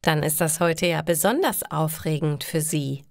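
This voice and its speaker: A woman voicing a polite, helpful female bank clerk in slow, formal storybook dialogue. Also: deep voice, creaky, dry recording, studio quality.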